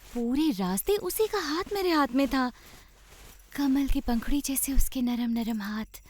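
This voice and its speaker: A woman playing an excitable, exasperated village mother in a children's cartoon, with a dramatic, indignant manner. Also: sits high, measured pace. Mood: flustered, bewildered.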